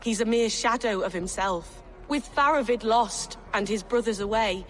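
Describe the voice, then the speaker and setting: A woman's voice: a little high, normal speed, natural voice. A woman playing a sorrowful attendant of a king in a video game, speaking solemnly. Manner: mournful, solemn. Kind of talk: dramatic video game acting.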